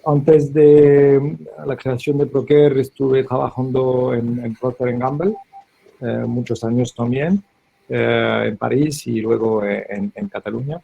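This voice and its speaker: A man from France speaking Spanish with a French accent, introducing himself as a company founder on a webinar panel, polite and a little halting. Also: medium pitch, steady tone, creaky, slightly rough, narrow, tinny audio.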